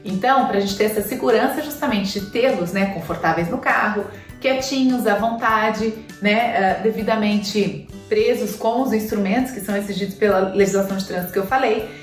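A woman's voice: medium pitch, normal speed, recorded from a distance. A woman in her thirties from Brazil, chatting to the camera with advice and many fillers, seated on a sofa in a living room. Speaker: pet vlogger. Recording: roomy, from off-mic, soft music.

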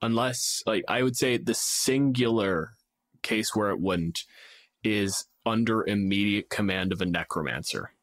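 A man's voice: lowish voice, unhurried, dry recording. A man co-hosting a casual, unscripted chat about tabletop games, swearing now and then and playing out hypotheticals. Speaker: podcast co-host.

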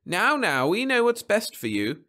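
A man voicing an excitable, smug bureau official with an emphatic manner in a science fiction story. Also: sits high, measured pace, pitched above the performer's own voice, full character voice. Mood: genial, smug. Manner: effusive, emphatic.

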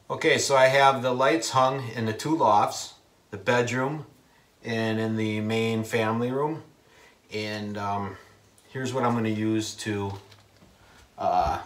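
A man in his forties giving a casual how-to explanation to camera in a plain, unhurried, halting way. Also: fairly low pitch. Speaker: DIY video host. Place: inside a small unfinished wooden cabin with a steel ceiling.

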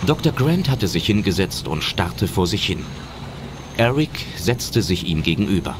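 A man's voice: sits low, big pitch swings, normal speed, noisy background. A man reading scripted audio drama narration as a storyteller. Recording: narration over loud film music.

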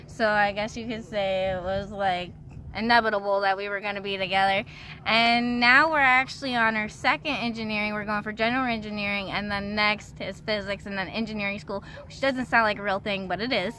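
A young woman in her twenties talks casually with a very bright, forward voice. She peppers her speech with "like", and there is faint open-air noise behind her. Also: medium pitch, steady tone, brisk. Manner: uses "like" often. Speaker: guest, van-life traveler and student. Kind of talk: casual chat. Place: outdoors beside a parked van.

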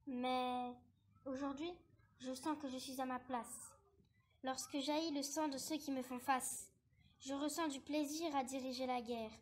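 A woman performing a bitter, ambitious male war minister in dramatic, declamatory theatrical verse, with measured phrasing. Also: high voice, darkish tone. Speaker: actor reading a verse monologue.

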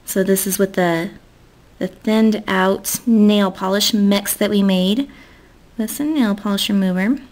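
A woman's voice: mid-range voice, unhurried, a little mellow. A young American woman giving a casual, chatty step-by-step tutorial in an informal way. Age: young adult. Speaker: nail art tutorial host.

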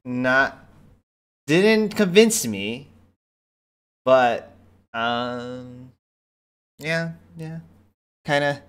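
A man's voice: medium pitch, animated, drawn-out words, long pauses, a bit bright, smooth. A young man giving casual, hesitant live commentary as a streamer reacting to a video. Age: young adult. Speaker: streamer reacting to a game trailer. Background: game trailer music.

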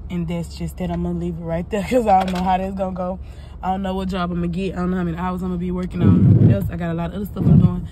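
Congested young woman vlogger, chatty and rambling, phone mic, car noise clearly behind her. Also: lowish voice, flat delivery, rapid-fire. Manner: chatty, rambling, swears casually. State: congested, coughing. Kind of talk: casual vlog chat. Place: inside a parked car. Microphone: phone held low at arm's length.

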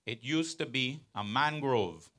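A man's voice: medium pitch, measured pace, pushed and bright. A man in the audience asking a pointed question at a town hall into a handheld mic, earnest and building in emphasis. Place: large outdoor venue with audience.

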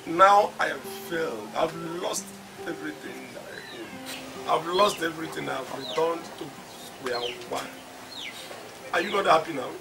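A man's voice: on the high side, lively intonation, measured pace, vocal fry, distant mic, over music, rough recording. An actor playing a man who has lost everything, speaking in anguished, repetitive lines. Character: ruined man grieving his losses. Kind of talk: film drama acting.

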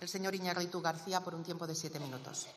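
A woman chairs a parliamentary session and makes a brisk, flat procedural announcement. Her voice is lowish and quick, with little rise or fall. She sounds distant, caught in the long reverb of a large hall. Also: slight background noise.

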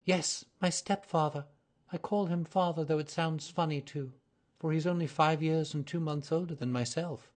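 A man's voice: fairly high pitch, normal speed, voice pushed up, close to an everyday voice. A man doing a flustered chatty young woman. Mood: rambling. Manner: eager, rambling, breathless pleading. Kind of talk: audiobook character reading.

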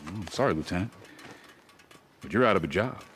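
An actor playing a sardonic, easygoing militia soldier in a video game. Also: male voice, low-pitched, animated, brisk, noisy background. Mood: teasing. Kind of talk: video game cutscene acting. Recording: game cutscene with music behind.

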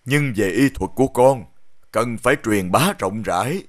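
An actor playing a dignified, generous older king in an animated fairy tale, formal and regal. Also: mid-range voice, a little slow, close to an everyday voice. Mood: stately.

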